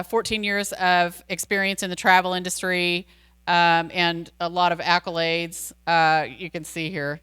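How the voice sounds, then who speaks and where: A woman's voice: lowish voice, bright, forceful tone, smooth. An American woman hosting a seminar series, introducing speakers in a chatty way with many fillers and restarts, speaking into a handheld microphone in a community center room.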